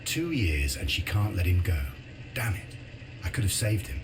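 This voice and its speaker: An actor playing a weary, guilt-ridden office worker in a video game, subdued and plain-spoken. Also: male voice, low-pitched, very animated, moderate pace, background noise. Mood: bitter. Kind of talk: video game cutscene acting and narration. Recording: loud music behind the voice.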